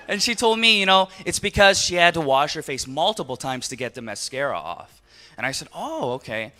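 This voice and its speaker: A man's voice: fairly high pitch, fairly quick. A man in his thirties with an American accent giving a motivational business talk, telling stories and putting rhetorical questions to his audience. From Los Angeles, United States. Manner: animated storyteller, rhetorical questions to the audience, acts out dialogue. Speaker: business speaker, network marketing entrepreneur.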